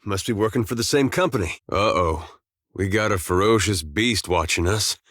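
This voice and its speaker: A man's voice: on the low side, moderate pace, fairly bright tone, no room sound. A man playing an easygoing, loyal farm-boy soldier in a video game, with an American accent and a folksy, plainspoken, wry manner. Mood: wry, lighthearted. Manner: folksy, laid-back drawl, dry humor. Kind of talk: scripted video game dialogue.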